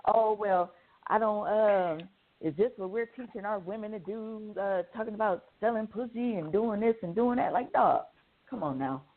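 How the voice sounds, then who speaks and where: A woman's voice: medium pitch, normal speed. An American woman giving blunt, profane opinions in slangy, casual panel chat. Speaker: radio show co-host. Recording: thin phone-like band-limited audio.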